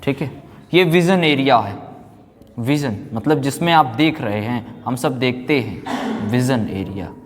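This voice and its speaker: A man's voice: medium pitch, lively intonation, unhurried. A man teaching a science class, repeating phrases, asking check questions and mixing in English technical terms. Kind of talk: classroom physics lecture for exam students. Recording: echoey room with long reverb.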